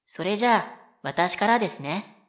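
A woman voicing a polite, whimsical and stubborn middle-school girl in a comedic radio drama, deadpan and following her own rhythm. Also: mid-range voice, normal speed, lightly acted. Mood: coyly insistent. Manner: polite speech, deadpan, self-paced.

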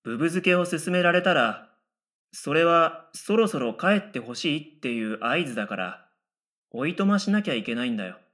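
A man as an earnest deadpan schoolboy, lively intonation. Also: on the high side, moderate pace. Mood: dry retorting. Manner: dry, matter-of-fact retorts. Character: earnest, deadpan middle school boy, quietly correcting his classmate. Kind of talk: radio drama first-person narration and dialogue.

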